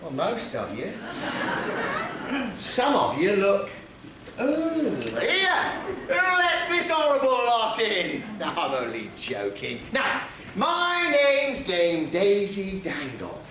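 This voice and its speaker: Man as a shrieky high-pitched pantomime dame, echoey theatre. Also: huge pitch swings, slow, heavily acted. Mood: cheeky, teasing. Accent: English. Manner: teasing, exclamatory, playing to the audience. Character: brash, cheeky pantomime dame, a gossipy female palace housekeeper. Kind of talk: British pantomime stage comedy. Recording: echoey theatre hall, noisy audience.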